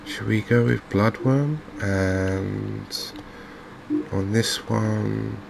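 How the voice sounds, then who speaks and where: A man hosting a gaming video in a softly spoken ASMR commentary style, unhurried and hesitant, musing to himself. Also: fairly low pitch, fairly even intonation, gravelly, background noise.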